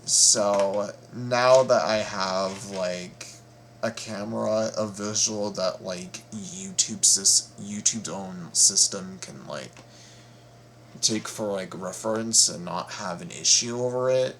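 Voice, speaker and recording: A man hosting a casual reaction video, talking in a halting, playful way and often repeating his words. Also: fairly low pitch, fairly bright tone, slight background noise.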